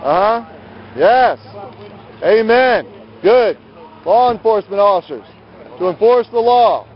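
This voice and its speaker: Guy street preaching really high pitched, scolding and arguing, muffled outdoor phone video sound. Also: lively intonation, measured pace. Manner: loud, scolding, combative. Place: outdoors at night on a city sidewalk beside a road. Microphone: handheld camera. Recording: muffled lo-fi phone camera audio.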